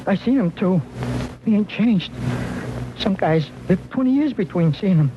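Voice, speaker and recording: An actor playing a broken, fearful alcoholic informant in a 1950s radio crime drama, halting, repetitive and slurred. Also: male voice, high-pitched, expressive, background noise. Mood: haunted. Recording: muffled old radio recording, music behind.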